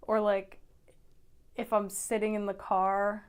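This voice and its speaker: A young woman co-hosting a podcast, chatting in a relaxed way, filling her speech with "like" and laughing easily. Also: medium pitch, slow, clean tone. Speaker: podcast co-host. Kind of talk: casual chat.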